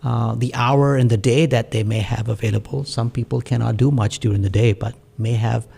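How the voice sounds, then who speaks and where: A man from Pakistan speaks as a studio talk-show guest with a gravelly voice at a middle pitch. He talks in a measured, reflective way, pausing on fillers as he works through his thoughts. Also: steady tone, mellow. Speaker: guest, community activist. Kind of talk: earnest talk-show conversation.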